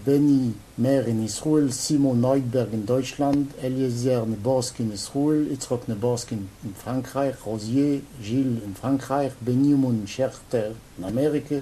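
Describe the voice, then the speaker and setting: A man's voice: medium pitch, moderate pace, hardly any pauses, poor audio. Male interviewer reading a list steadily. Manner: plain, steady listing. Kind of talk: reading a list, asking questions. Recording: faint background noise.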